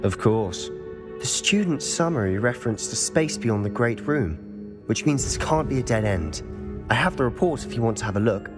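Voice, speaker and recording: An actor playing a secretive, reckless teenage wizard student in a fantasy game, earnest and intense. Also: male voice, medium pitch, expressive, normal speed, music in the background, natural voice. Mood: focused, conspiratorial. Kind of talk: scripted fantasy game acting.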